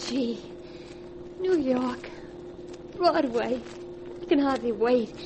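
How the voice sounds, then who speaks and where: A woman's voice: sits high, animated, takes it slowly, noisy background. An actress playing a nervous young widow and a crook's girlfriend in a 1940s radio drama, breathless and eager, easily rattled.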